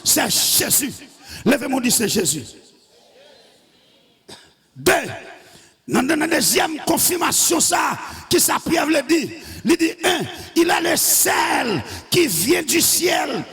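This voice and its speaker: Fiery, high-pitched gravelly preacher. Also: male voice, long reverb tail. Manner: shouting, half-singing cadence. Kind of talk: fiery sermon.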